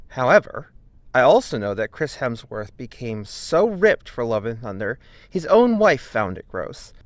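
Male essay narrator, mid-pitched, argumentative and emphatic, with plenty of melodic variation. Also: a bit bright, dry recording. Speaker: video essayist. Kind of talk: scripted video essay narration.

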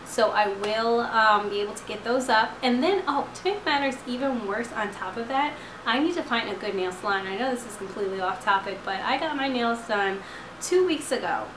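A woman in her twenties talks straight to a close camera from her couch, chatty and rambling with plenty of fillers. Her voice is slightly hoarse as it recovers. The room sounds roomy, and a clear hiss runs underneath. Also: fairly high pitch, lively intonation, normal speed. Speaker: vlogger. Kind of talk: casual chat to camera. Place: living room couch at night. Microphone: camera at close range.

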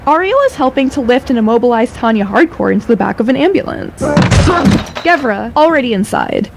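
A woman's voice: fairly high pitch, animated, normal speed, gravelly, heavy background noise. A woman narrating a scripted audio drama.

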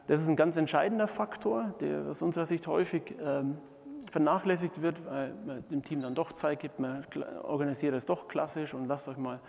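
Creaky middle-aged man presenting in a hall. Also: a little high, darkish tone. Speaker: keynote speaker, management consultant. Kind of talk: conference keynote presentation. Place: large indoor conference hall, on stage before seated audience. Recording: reverberant conference hall.

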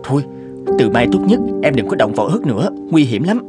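A man's voice: medium pitch, very animated, fairly quick, over loud music, close to an everyday voice. A man playing a concerned, well-meaning young husband in a cartoon, fussing expressively. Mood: worried, exasperated. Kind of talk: cartoon dialogue.

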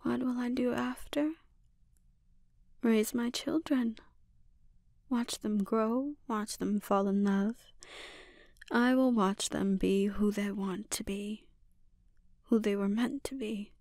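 A woman playing a menacing, seductive sorceress and obsessive mother in a breathy, often whispered ASMR roleplay, speaking deliberately and with quiet threat. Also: on the high side, steady tone, no room sound, studio quality. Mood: yearning, obsessive.

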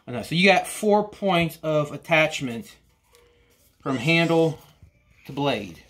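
A man in his forties reviewing hand saws in a cluttered workshop, talking slowly and rambling with restarts. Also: a little high, expressive, fairly bright tone. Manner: slow, rambling, with frequent restarts and "you know". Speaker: woodworking channel host. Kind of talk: casual workshop tool review. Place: cluttered indoor workshop or basement.

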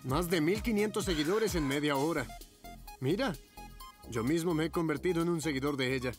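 A man playing a brisk, encouraging fashion photographer in an animated cartoon dub. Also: a little high, natural voice. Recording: music behind the voice.

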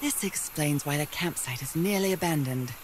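Reflective adventurous wife in a game, voiced by an actress, noisy ambience. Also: female voice, low voice, moderate pace, natural voice. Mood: intrigued. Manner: reflective, period slang. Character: curious, devoted wife searching for her missing husband. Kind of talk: scripted game narration, thinking aloud.

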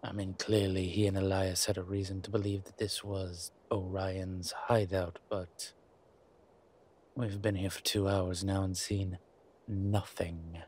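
A man playing a centuries-old vampire in a roleplay audio drama, suave, wry and protective, speaking in a measured, theatrical way with deliberate pauses. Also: low-pitched, level tone, darkish tone. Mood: grave, reluctant. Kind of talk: scripted roleplay audio drama addressed to the listener. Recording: clear background ambience noise.

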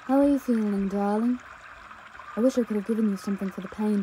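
A woman playing a devoted, anxious young pastor's daughter on the run in an intimate audio drama, speaking tenderly and emotively with halting pauses. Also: mid-range voice, background noise, lightly acted. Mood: tender, relieved. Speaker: audio roleplay performer. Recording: nature ambience with trickling stream water. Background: outdoor ambience sound effects, running water.

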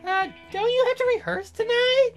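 Woman putting on a very high, flustered girlish heroine. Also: soft background music. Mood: earnest, eager. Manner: squeaky, halting, excitable. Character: eager, flustered young heroine, sweet and girlish.

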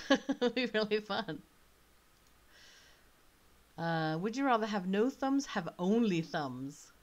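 A woman's voice: medium pitch, animated, slow, dull audio. A woman in her thirties with a slight British accent, chatting casually and thinking aloud as she plays an online choice game. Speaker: author playing a choice game. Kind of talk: casual commentary. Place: small room indoors.

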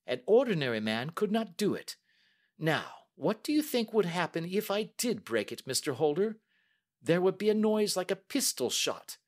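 A man doing a cool, crisp detective voice, raised high. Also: sing-song, normal speed. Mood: assured. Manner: crisp, assured, formal. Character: cool, precise male detective, middle-aged.

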